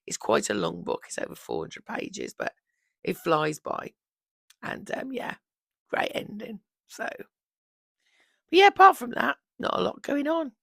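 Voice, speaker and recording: A woman in her fifties, hoarse from losing her voice, chatting casually and rambling about books on her vlog into a microphone on a holder. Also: on the high side, wildly swooping pitch, a little slow, pauses often, no room sound, studio quality. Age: 50s. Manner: chatty, rambling, warm fond asides. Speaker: book vlogger.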